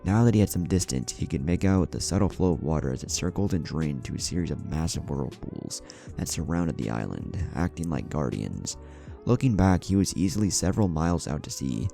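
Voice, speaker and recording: Very deep, gravelly male storyteller. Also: animated, a little fast, warm tone. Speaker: story narrator. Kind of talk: dramatic scripted fan fiction narration. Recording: background music under the voice. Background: music.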